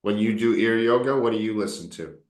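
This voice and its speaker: A man's voice: lowish voice, brisk, echoey room. Chatty man coaching English over a video call. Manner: relaxed, chatty, encouraging, jokes with students. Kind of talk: casual online English lesson.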